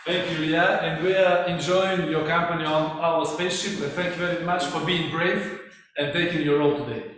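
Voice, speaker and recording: Russian-accented host, distant and reverberant. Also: male voice, on the high side, fairly even intonation, brisk, a bit bright. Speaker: meeting host. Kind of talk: hosting a club meeting. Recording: distant, long-reverb hall sound with faint noise.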